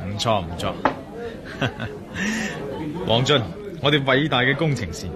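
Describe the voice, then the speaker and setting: Worldly adventurer played by a male actor, Hong Kong Cantonese, radio drama. Very lively, swinging intonation, mid-pitched, bantering yet forceful in delivery. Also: lightly acted. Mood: teasing, relaxed. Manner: animated, bantering, emphatic. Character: worldly, teasing adventurer, urgent when crossed.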